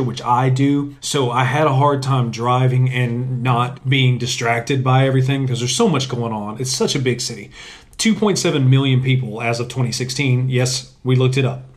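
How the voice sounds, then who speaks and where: A young man co-hosting a podcast in casual chat, jokey and self-deprecating, laughing often. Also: medium pitch. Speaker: podcast co-host. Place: sitting on a bench.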